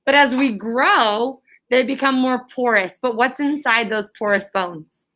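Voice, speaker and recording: A woman's voice: fairly high pitch, unhurried, sharp tone. A woman teaching elementary school pupils in a classroom, prompting them with questions and repeating them, often checking with "right?".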